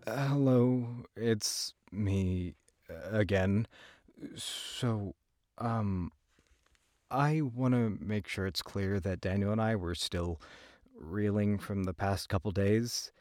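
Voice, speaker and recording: A man acting an anxious, weary young man who gives a halting, confessional first-person account in an audio drama. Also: on the low side, darkish tone, no echo, studio quality. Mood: uneasy, reflective. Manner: halting, hesitant. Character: weary, anxious young man recounting a frightening road trip.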